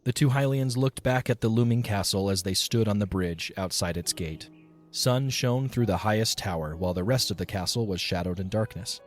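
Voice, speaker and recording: A man with a fairly low voice narrates an audio drama. His storytelling is scripted, measured and descriptive, with soft music underneath.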